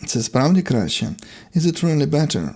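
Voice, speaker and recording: A man's voice: on the high side, sing-song, moderate pace, mellow, slightly rough. A man teaching a language lesson, reading out short, separate example sentences in an instructional way. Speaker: English teacher.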